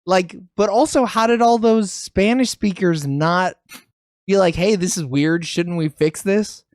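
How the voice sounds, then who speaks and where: A man co-hosting a podcast, talking in blunt, emphatic bursts and swearing without a second thought. His voice sits a little high for a man. The sound is close and dry, with no room around it. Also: unhurried, a little mellow, no echo. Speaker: podcast co-host. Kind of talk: casual chat.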